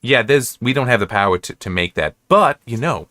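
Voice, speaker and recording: An American man giving casual, unscripted commentary as a reviewer, halting and correcting himself as he rambles. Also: medium pitch, animated, bright, forceful tone, no echo, clean studio sound. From United States. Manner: halting, self-correcting, restarts and digresses often. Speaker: reviewer hosting an episode-by-episode analysis.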